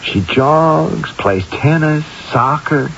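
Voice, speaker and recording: A muffled, faintly hissy radio drama recording of a gentle young American man, a grown son. His creaky voice moves up and down a lot, and he speaks haltingly and tactfully. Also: a little high, animated, full character voice. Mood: hesitant, concerned. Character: gentle, hesitant grown son, an expectant father. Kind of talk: 1970s radio drama acting.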